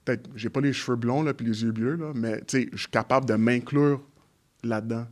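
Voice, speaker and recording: A man's voice: mid-range voice, steady tone. A man whose first language is English, speaking French as a guest in a conversational interview, often restarting his sentences and slipping into English phrases. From Montreal, Quebec. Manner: halting, restarts often while searching for words. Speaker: guest, community organization leader.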